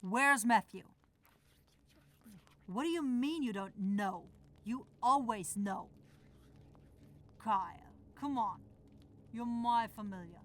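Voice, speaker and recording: A woman's voice: fairly high pitch, animated, slow pace, long pauses, a bit bright. A woman playing a bossy, grumbling demon shopkeeper with a brusque, sarcastic manner in a fantasy audio roleplay. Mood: coaxing, suspicious.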